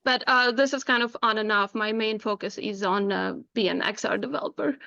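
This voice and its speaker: A woman's voice: medium pitch, a little fast, creaky, sharp tone, dry recording, lo-fi sound. A woman from Tunisia, giving an informal online presentation as a guest speaker. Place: indoors, online video call.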